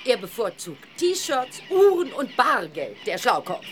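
An excitable, cheeky adventuress with a high, swooping voice. She is theatrical and exclamatory, a bold family friend from a children's audio play. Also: unhurried, a little hiss or hum. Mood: sly, knowing. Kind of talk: children's audio drama acting.

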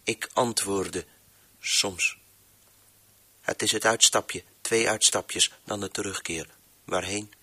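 An anguished, brooding radio-play narrator; tense, cutting tone, slow and deliberate theatrical delivery. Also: male voice, fairly low pitch, steady tone, bright, forceful tone, exaggerated voice. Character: brooding, anguished narrator who opens and closes voices. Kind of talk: avant-garde radio drama monologue.

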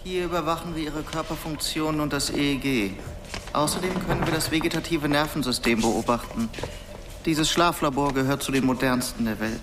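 Actor as measured sleep doctor, lightish voice. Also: male voice, fairly high pitch, noisy background, lightly acted. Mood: explanatory. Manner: measured, soothing, guiding. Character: male sleep-lab doctor, calm hypnotic specialist.

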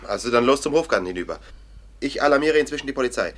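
An actor in a German radio drama playing a gruff investigating official, distrustful and commanding, with a brusque, scornful manner. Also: male voice, mid-range voice, expressive, very fast, lightly acted. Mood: brisk, commanding.

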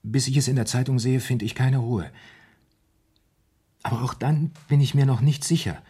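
An actor in a German radio crime drama playing a troubled man who speaks hesitantly and haltingly about his fears. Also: mid-range voice, dark tone, husky, lightly acted. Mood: anxious, tormented. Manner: hesitant, halting, repeating himself. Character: anxious, troubled man confiding a dreadful fear.

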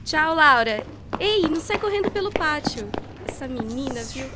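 A woman's voice: high voice, animated, moderate pace, noisy background. A woman playing a chatty, mildly scolding school secretary in a radio drama, muttering familiarly. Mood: busy, familiar.

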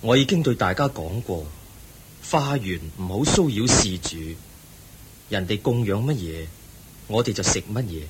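An actor playing the Buddha, a calm and compassionate teacher who speaks gently and patiently, in a radio play. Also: male voice, on the low side, background noise. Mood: serene. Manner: gentle, patient, measured preaching. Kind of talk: Buddhist radio drama acting.

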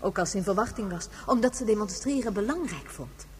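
An actress as a very old woman in a sci-fi radio play, gentle and reflective. Her voice sits at an ordinary female pitch and rings in a long reverb over a humming noise. She tells things in a measured, reminiscing way. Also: animated, close to an everyday voice. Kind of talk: Dutch science fiction radio drama acting.

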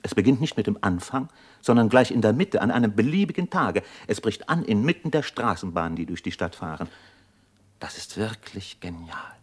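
A thoughtful man of medicine in a radio play, with a very low voice. He declaims intensely and reflectively, full of awe. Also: very animated, moderate pace, slightly rough. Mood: awed, fervent. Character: thoughtful doctor, awed by revolutionary upheaval. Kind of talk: German radio drama acting.

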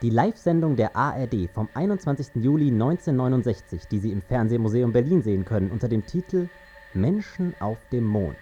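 A man's voice: mid-range voice, expressive, brisk, mellow, natural voice. An actor playing a male astronaut crewman in a radio play, reciting in a matter-of-fact way like an announcement. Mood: factual. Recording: dull sound with faint music.

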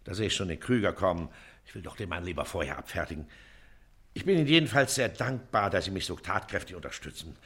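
Pompous, lively-pitched district official. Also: male voice, quick pace. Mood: self-important, brisk. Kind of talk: theatrical German radio play acting.

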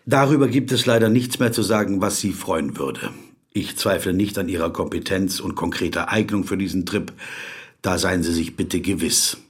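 A man's voice: sits low, normal speed, husky. A man acting a pedantic, brooding, awkward corporate lawyer in a German radio drama, fussy and dryly ironic in his digressions. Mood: earnest, pedantic. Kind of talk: German radio drama, dialogue and inner monologue.

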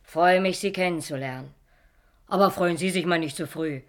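An actress playing a blunt, chatty, grumpy secretary in a German radio drama, talking in clipped colloquial phrases. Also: female voice, lowish voice, moderate pace, close to an everyday voice. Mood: grumpy, frank.

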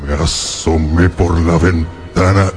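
Slow, deep, lonely monster. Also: male voice, level tone, a little mellow, faint music behind. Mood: sorrowful, brooding. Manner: slow, halting, brooding. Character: lonely, sorrowful male monster telling his story. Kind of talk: dramatised audiobook narration, radio drama.

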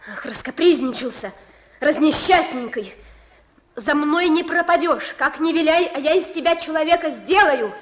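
A woman's voice: sits high, lively intonation, moderate pace, a little hiss or hum. An actress in a Russian radio drama playing a devoted young woman who pleads stubbornly and colloquially with the thief she loves. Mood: firm, coaxing. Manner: pleading yet resolute, colloquial.

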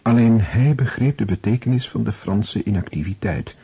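A man narrating a literary radio drama in a measured, serious, scripted manner. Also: lowish voice, lively intonation, vocal fry, warm tone, slightly rough.

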